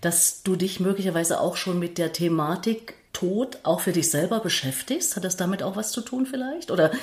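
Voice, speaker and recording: A woman's voice: fairly low pitch, normal speed, darkish tone, echoey room. A middle-aged woman hosting a personal, conversational interview in a reflective, circling way, adding asides of her own. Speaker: interviewer, host.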